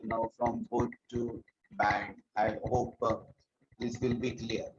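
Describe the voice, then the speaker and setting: A man's voice: fairly low pitch, flat delivery, slow pace, highs cut off, slight background noise. A man with an Indian English accent teaching a geometry lesson in an online class, repeating key points and mixing in Hindi phrases. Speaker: math teacher. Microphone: earphones mic on a video call.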